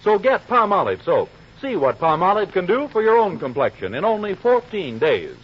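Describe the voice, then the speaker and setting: A man's voice: sits high, big pitch swings, normal speed, slightly rough. A man announcing a commercial in a 1940s radio style. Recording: muffled old radio recording.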